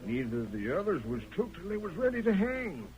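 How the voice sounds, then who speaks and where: An actor playing a corrupt, placating sheriff in a radio western, with a folksy, wheedling manner and an American Western accent. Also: male voice, sits high, sing-song, brisk, darkish tone, rough recording. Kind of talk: 1940s radio western acting.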